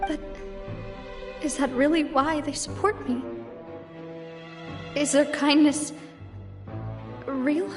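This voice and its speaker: A woman's voice: high voice, steady tone, slow pace, pauses often. A woman voicing a young female guild leader in a game cutscene, earnest and determined. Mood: reflective. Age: young adult. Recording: game audio with loud music.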